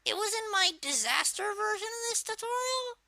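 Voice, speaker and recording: Casting: a cheeky cartoon villain kid, extremely high and piercingly bright, presenting a casual, halting tutorial. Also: female voice, animated, slight background noise, full character voice. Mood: casual, explaining. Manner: halting, casual, peppered with filler words. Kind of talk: software tutorial narration.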